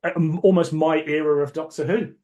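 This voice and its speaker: A man's voice: a little high, fairly even intonation, moderate pace, warm tone, lo-fi sound. A man hosting a podcast in casual chat, informal and opinionated, swearing casually as he talks. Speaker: podcast host. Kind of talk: casual chat about cult sci-fi dramas.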